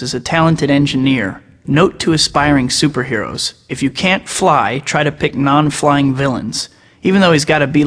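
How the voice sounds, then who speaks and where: A man's voice: medium pitch, big-room sound, lightly acted. An actor playing a sarcastic young male superhero in a video game, full of teasing quips. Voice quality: bright. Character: wisecracking young male superhero, cocky and joking. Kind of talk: quippy game voice clips.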